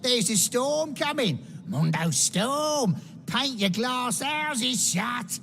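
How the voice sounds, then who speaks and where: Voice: medium pitch, expressive, takes it slowly, a bit bright, slight background noise. A performer playing a raving, cryptic street prophet of doom in a video game, rambling and ominous. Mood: manic, ominous. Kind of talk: scripted video game cutscene acting.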